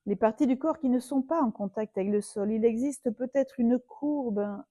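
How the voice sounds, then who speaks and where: A woman yoga teacher leading a guided relaxation meditation in a soothing, unhurried manner, leaving long pauses. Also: on the high side, warm tone, dry recording, lo-fi sound.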